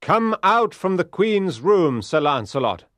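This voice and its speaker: A man playing a scheming, accusing knight in a storybook reading, deliberate and forceful. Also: on the low side, lively intonation, no room sound, studio quality. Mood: angry, shouting. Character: scheming, accusing male knight of King Arthur's court.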